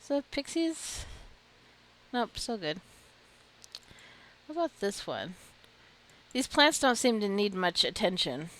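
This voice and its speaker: A woman's voice: mid-range voice, sing-song, slow, long pauses, fairly bright tone. A woman giving casual gameplay commentary, chatty and giggly, often talking straight to her game characters. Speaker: gameplay video creator.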